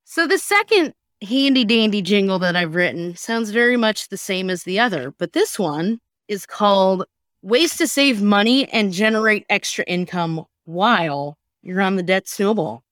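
Woman host, mid-pitched, nerdy and chatty, interrupts herself, very dry sound. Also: expressive, normal speed, a bit bright, no room sound. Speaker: comedy podcast host. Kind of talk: casual, chatty explaining.

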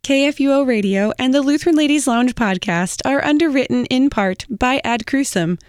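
Measured woman reading a disclaimer. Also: sits high, no echo, clean studio sound. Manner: measured, formal read. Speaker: announcer. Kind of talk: read sponsor credit and disclaimer.

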